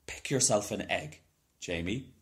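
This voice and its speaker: A man's voice: lowish voice, animated, measured pace. A man voicing a friendly, sweet-talking village egg seller in a storybook. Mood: genial. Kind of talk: storybook character voice.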